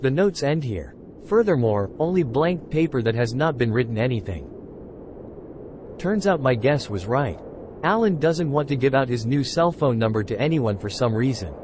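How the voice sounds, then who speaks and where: A man's voice: mid-range voice, animated, moderate pace, natural voice. A man playing a curious, reasoning investigator in scripted first-person mystery narration. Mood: pondering. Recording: noisy ambience with vehicle sounds behind.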